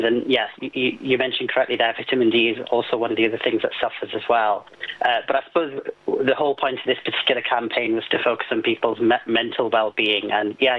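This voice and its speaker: Man, flat steady delivery, measured explainer, doctor guest on radio, dull phone-like sound. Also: medium pitch. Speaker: GP and health campaign guest. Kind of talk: radio interview answers.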